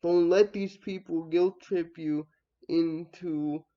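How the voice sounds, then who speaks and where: A young man from the United States giving a reflective personal monologue, halting and thinking aloud, often trailing off. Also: on the high side, steady tone, muffled recording. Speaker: vlogger.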